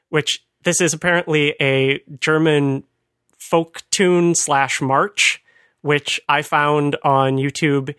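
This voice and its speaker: A man's voice: a little high, a bit bright, no room sound. A man co-hosting a conversational talk, explaining things with dry amusement. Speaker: podcast host. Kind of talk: conversational retro computing commentary.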